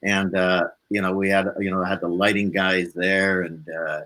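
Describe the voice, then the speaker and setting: A man's voice: sits low, little pitch movement, moderate pace, pushed and bright, low-quality recording. A man speaking as a musician guest in a casual interview, telling stories in a rambling, easygoing way. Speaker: musician guest on a talk show.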